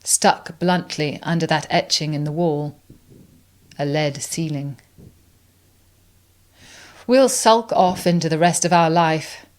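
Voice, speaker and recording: A woman reciting poetry in a deliberate, reflective way, pausing between phrases. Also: lowish voice. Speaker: reader of poems.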